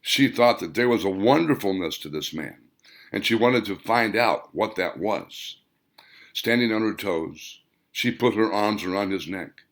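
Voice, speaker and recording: A man's voice: lowish voice, vocal fry, a bit bright. A man reading his own novel aloud as scripted audiobook narration, with dramatic, emphatic phrasing.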